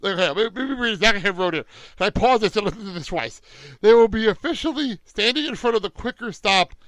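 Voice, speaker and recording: A man with a high voice that swings up and down in lively fashion, co-hosting a casual podcast recap. He is giggly and swears freely, and his bright delivery is recorded close and dry. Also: fast talker, dry recording. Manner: giggly, often breaks into laughter, swears. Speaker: podcast co-host. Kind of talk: casual episode recap chat.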